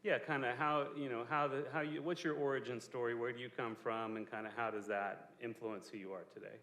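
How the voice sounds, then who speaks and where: A man's voice: medium pitch, steady tone, a little fast, fairly bright tone. A man hosting a live on-stage interview at an event, conversational and a bit apologetic, speaking into a handheld mic. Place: event space with a small audience. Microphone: handheld microphone. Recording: roomy live venue.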